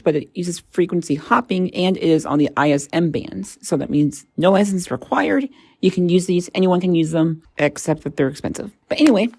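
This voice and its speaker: Casting: female gadget reviewer, low-pitched voice, informal and tentative, thinking aloud, very dry recording. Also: mellow, no echo. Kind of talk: casual hands-on product review.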